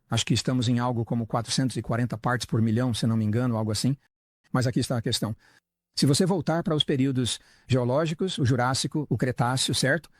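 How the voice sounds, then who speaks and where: A man's voice: mid-range voice, no room sound. A man speaking in an earnest, explanatory way as the dubbed voice of a talk, posing rhetorical questions and answering them himself. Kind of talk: Portuguese voice-over of an interview talk.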